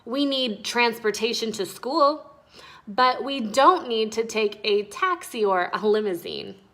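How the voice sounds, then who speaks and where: A woman voicing an eager, earnest young schoolgirl in a storybook, excitable and quick to chime in. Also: a little high. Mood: eager, excited.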